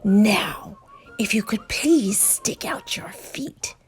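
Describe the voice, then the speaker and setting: A woman voicing a hungry, menacing yet fond male monster in a children's storybook, in a breathy, whispery growl with a teasing, deliberate delivery. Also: mid-range voice, lively intonation. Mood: gleeful, hungry.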